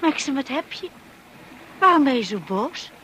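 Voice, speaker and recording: An actress playing a timid, anxious young wife in a Dutch radio drama, hesitant and devoted, also narrating softly in the first person. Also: female voice, high-pitched, sing-song, moderate pace. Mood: flustered. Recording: noisy old radio play recording.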